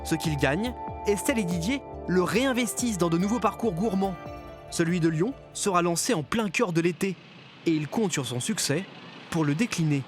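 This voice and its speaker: A male documentary narrator with a notably high-pitched voice. He delivers scripted reportage voice-over, with music clearly playing behind him. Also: expressive, moderate pace.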